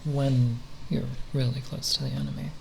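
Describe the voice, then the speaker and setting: A speaker giving an unscripted ASMR ramble in a halting, nerdy, hesitant manner with long pauses. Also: mid-range voice, flat delivery, vocal fry, darkish tone, rough recording. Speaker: ASMR content creator. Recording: faint background noise.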